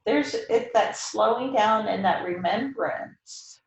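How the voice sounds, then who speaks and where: A middle-aged woman talking reflectively and intimately about poetry, gently and contemplatively, with hesitant pauses. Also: mid-range voice, vocal fry. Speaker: host reading and discussing poetry. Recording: roomy, slightly dull sound.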